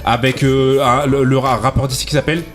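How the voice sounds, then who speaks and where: A man's voice: mid-range voice, level tone, a little fast, faint music behind. A man hosting a show, asking long rambling questions with many fillers. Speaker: radio show host. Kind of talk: casual interview.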